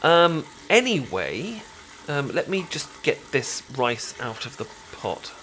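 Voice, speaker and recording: A British man playing a caring, chatty best friend in an intimate ASMR roleplay, talking casually with halting, stammering explanations. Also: a little high, big pitch swings, pushed and bright, exaggerated voice, rough recording. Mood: calm, instructive. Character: caring, chatty male best friend teaching sushi making.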